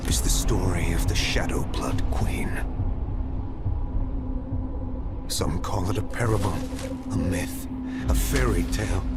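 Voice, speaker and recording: A man playing a grave, ominous storyteller in a video game, narrating a dark fairy tale in a solemn, portentous way. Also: sits low. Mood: foreboding. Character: grave, ominous male storyteller warning of doom. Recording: loud music behind the voice.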